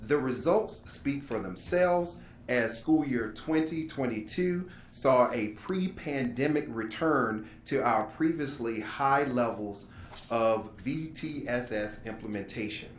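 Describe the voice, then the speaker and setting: A man giving a formal, measured presentation as a school administrator, reading carefully from prepared text. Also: medium pitch, expressive. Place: indoor meeting room, public board meeting. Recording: roomy echo, light background noise.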